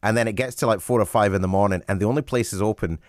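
A man's voice: lowish voice, fast talker, no room sound, studio quality. A Scottish man co-hosting a casual chat, bantering and asking questions. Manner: laughs often, warm banter. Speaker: radio co-host.

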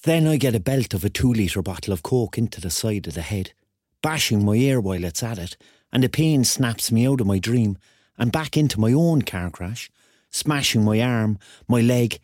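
A man's voice: on the low side, animated, normal speed, a little mellow, dry recording, clean studio sound. An actor playing a hapless, self-mocking thirty-year-old man with a Cork accent, telling his story as a rambling, wry confession in a radio drama. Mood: vivid, rueful. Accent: Cork Irish.

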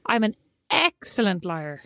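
A woman's voice: medium pitch, sing-song, unhurried, gravelly, fairly bright tone, no echo, clean studio sound. A woman with a slight lisp co-hosting a casual chat with her sister, playful and mock-indignant. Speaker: podcast co-host.

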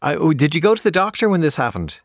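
Animated male radio host. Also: a little high, fast, gravelly, no room sound, clean studio sound. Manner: friendly, stumbles and restarts questions. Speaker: radio talk show host. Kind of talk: radio interview.

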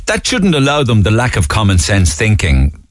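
A man's voice: lowish voice, huge pitch swings, normal speed, dry recording, studio quality. A middle-aged man with a Cork Irish accent hosting a radio phone-in show, earnestly reading listener texts and talking with callers. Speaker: radio talk show host. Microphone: studio microphone.

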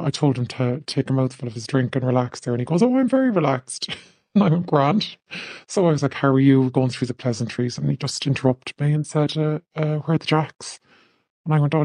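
A woman's voice: a little mellow, dry recording. An Irish woman telling a casual anecdote in a voice note, her voice altered by a voice changer. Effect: voice changer lowering the voice. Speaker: anonymous listener sending a voice note.

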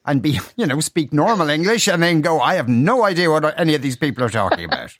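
A male radio host asks loose, halting questions in a friendly interview. His voice sits a little higher than most men's. He laughs easily between questions. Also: sing-song, a bit bright.

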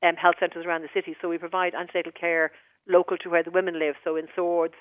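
A brisk Irish woman speaks quickly down a tinny, phone-like line. She uses an official, reassuring tone and keeps things moving. Her voice sounds dry and close, with no room around it. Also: lowish voice, flat delivery, dry recording. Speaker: hospital director of midwifery. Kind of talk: phone-in radio interview.

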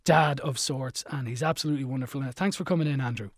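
A man's voice: mid-range voice, fast, dry recording. A man hosting a radio film show, interviewing guests and presenting in an enthusiastic, chatty way from a studio. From Ireland. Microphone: studio mic.

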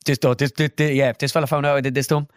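Irish midlands man, young, rapid, animated, dry close mic. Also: mid-range voice, clean tone, no room sound. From Ireland. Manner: animated, jumps into voices, lots of filler "like". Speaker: guest impressionist. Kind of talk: casual storytelling.

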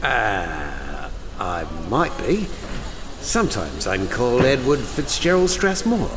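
Shifty Cockney chauffeur, echoey and noisy. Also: male voice, mid-range voice, big pitch swings, slow. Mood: defensive, cocky. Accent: English, Cockney. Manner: evasive, cheeky, chatty. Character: shifty, slangy male ex-chauffeur and con man. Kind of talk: 1920s radio news interview, acted. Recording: echoey station hall with clear noise.

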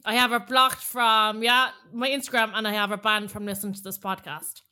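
Bright-voiced, blunt Cork Irish woman. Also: fairly high pitch, flat delivery, fairly quick. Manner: blunt, colloquial, sweary. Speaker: podcast co-host. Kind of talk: casual chat.

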